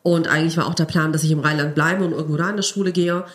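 A woman's voice: low voice, steady tone, racing. A young woman from Cologne, a teacher, chatting as a podcast guest and telling stories with laughs. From Cologne, Germany. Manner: storytelling, laughs while telling. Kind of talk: casual podcast chat.

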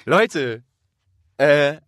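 A man in his thirties chatting casually as a podcast interviewer, with a jovial, animated manner. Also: fairly high pitch, very slow, no echo, studio quality.

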